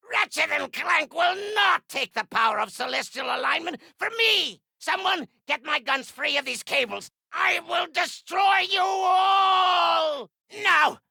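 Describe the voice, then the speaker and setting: A woman's voice: high voice, gravelly, sharp tone, dry recording, heavily acted. A woman playing an imperious, power-hungry video game villain with a commanding, theatrical delivery.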